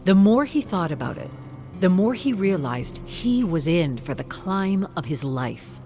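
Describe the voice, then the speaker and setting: A narrator reading a suspenseful scripted story in a measured, dramatic audiobook style. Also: mid-range voice, sing-song, darkish tone, faint music behind.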